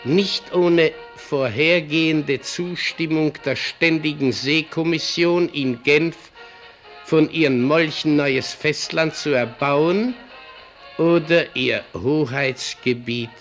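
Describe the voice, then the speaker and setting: A slow, brooding older doorman. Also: fairly high pitch, a bit bright, faint music behind. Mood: reading aloud, satisfied. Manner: halting, muttering newspaper reading with asides. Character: brooding, opinionated older doorman and newspaper clipper. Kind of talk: German radio drama acting.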